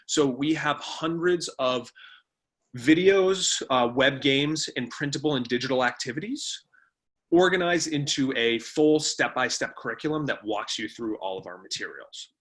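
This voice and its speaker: A man's voice: medium pitch, normal speed, fairly bright tone. A man giving an informal online presentation, explaining things in a conversational way as the webinar's presenter. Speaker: webinar presenter, company co-founder. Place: remote webinar.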